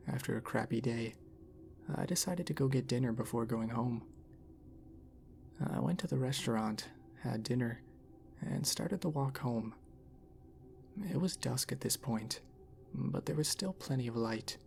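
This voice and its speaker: Guy narrating a scary story, measured, gravelly voice, bit of background noise. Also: medium pitch, darkish tone.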